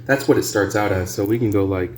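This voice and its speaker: A man's voice: lowish voice, fast talker, a little mellow. A man giving a casual, unscripted music gear demo in a rambling, hesitant, sweary way. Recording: clear background noise.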